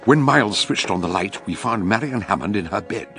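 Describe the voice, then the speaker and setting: Man acting older british detective for radio drama, low voice, grave and courteous, really swoopy delivery. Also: lively intonation, brisk, music in the background, natural voice. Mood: grave storytelling. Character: shrewd, grave, genial older male detective.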